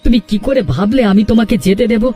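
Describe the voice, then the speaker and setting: A troubled younger brother in a cartoon, played by a man, earnest and pleading. The voice is high for a man yet mellow and soft-edged, with faint music underneath. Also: fast. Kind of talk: Bengali cartoon voice acting.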